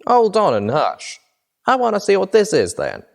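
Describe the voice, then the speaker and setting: A man's voice: high-pitched, wildly swooping pitch, normal speed, creaky, a little mellow, voice pushed up, exaggerated voice. A man voicing a blunt male pegasus mercenary who talks in a folksy twang and curses casually. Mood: brash.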